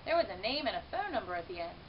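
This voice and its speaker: A woman reads a children's story aloud in an expressive, animated way, stretching words for emphasis. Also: medium pitch, racing. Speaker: teacher reading a children's book aloud. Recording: muffled, hissy home-style recording.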